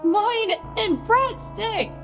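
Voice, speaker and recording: Woman acting angry wounded bride, very high voice, emphatic, sweary, naturalistic radio drama, soft music behind. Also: very slow, heavily acted. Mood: furious, hurt. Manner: scolding, emphatic, profane, pleading. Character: hurt, furious bride confronting her famous sister.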